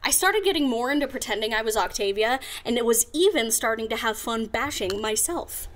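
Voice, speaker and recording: A woman's voice: fairly high pitch, animated, fairly quick, close to an everyday voice. A woman playing a brash, mischievous young female pony who hosts a radio show in an audio drama, with a playful, cheeky delivery. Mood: playful mischief. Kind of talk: fan audio drama acting.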